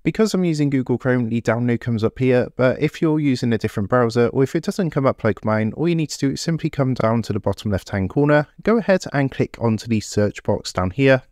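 A man's voice: medium pitch, fairly quick, few pauses, dry recording. Guy doing a screen tutorial, mid voice, dead dry desk mic, keeps saying what you wanna do. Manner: steady step-by-step instructions, repeats "what you wanna do". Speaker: tech tutorial presenter. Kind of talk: screen-recorded software tutorial. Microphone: desktop USB microphone.

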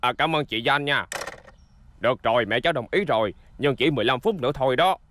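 A man's voice: medium pitch, animated, quick pace, a bit bright, slight background noise, close to an everyday voice. A man voicing a kindly, easily flustered old grandfather in a cartoon dub, speaking in a hesitant, pleading manner. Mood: pleading, reassuring.

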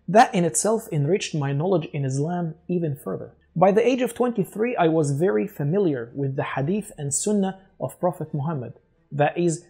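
Egyptian-accented man, dark husky voice. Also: a little high. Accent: Egyptian Arabic. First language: Arabic. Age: 40s. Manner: earnest, measured, persuasive. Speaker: channel host. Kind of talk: scripted talk to camera. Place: studio with dark backdrop. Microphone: lapel mic.

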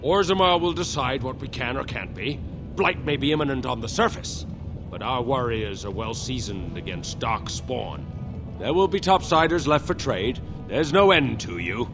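Guy doing a gruff dwarf trader from a fantasy game, creaky voice, lively pitch, shrewd and curt. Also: normal speed, over music, close to an everyday voice. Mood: confident, dismissive. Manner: blunt, dismissive, matter-of-fact. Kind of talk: video game dialogue acting.

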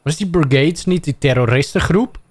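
A man's voice: a little high, fairly quick. A young adult Dutch man streaming a video game, playfully reading game choices aloud and joking between them. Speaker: gaming streamer. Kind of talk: livestream game commentary.

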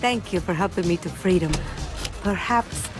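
A woman's voice: lowish voice, moderate pace, lightly acted. Woman playing an alluring freed captive, music behind. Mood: grateful, flirty.

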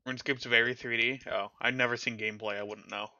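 A man's voice: medium pitch, fairly even intonation, fast, bright, forceful tone, no room sound, highs cut off. A man streaming a game, chatting casually and mocking himself, with a slight stutter at times. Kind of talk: casual chat while playing a game.

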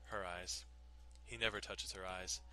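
A man's voice: deep voice, fairly even intonation, a little slow, pushed and bright, soft background music, rough recording. A man giving a dramatic scripted narration of a visual novel. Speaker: narrator doing dramatic readings.

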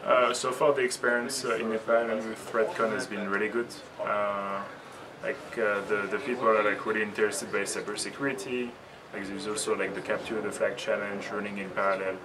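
A man's voice: fairly low pitch, level tone, fairly quick, background noise. A man in his thirties giving casual interview answers in a hesitant way, peppered with "like". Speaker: interviewee, tech founder. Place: busy indoor conference hall. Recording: far from mic, loud crowd chatter.

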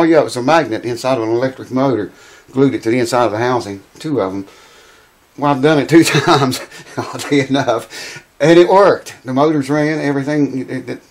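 Raspy, hoarse man. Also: medium pitch. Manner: rambling, folksy, swears casually. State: raspy, losing his voice. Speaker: guitar repair vlogger. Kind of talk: casual workshop chat to camera. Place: small cluttered home workshop with mounted animal heads, indoors.

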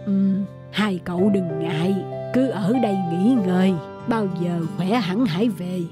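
A woman voicing a kindly old village elder in a cartoon folk tale, caring and unhurried. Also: medium pitch, loud music behind the voice, close to an everyday voice. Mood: kindly, reassuring.

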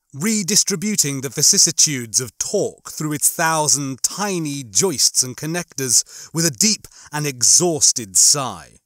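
A man narrating a horror science fiction audiobook in a measured, expressive, suspenseful way. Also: on the high side, no echo, clean studio sound. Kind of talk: dramatic scripted narration of a horror sci-fi novel.

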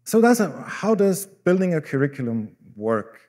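A man's voice: sits high, big pitch swings, normal speed, a little mellow. A middle-aged Austrian man, a native German speaker with an Austrian accent, giving a self-deprecating conference talk with frequent fillers. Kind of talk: conference presentation. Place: large dark conference hall, on stage.

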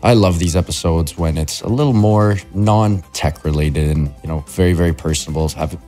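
A young man with an extremely deep voice hosts a podcast with upbeat, friendly energy. He speaks close into his mic, with faint music playing under him. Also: moderate pace, dark tone. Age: young adult. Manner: upbeat, friendly, hype-y host banter. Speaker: podcast host. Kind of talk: podcast interview and outro. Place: bright room at home. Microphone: podcast mic in front.

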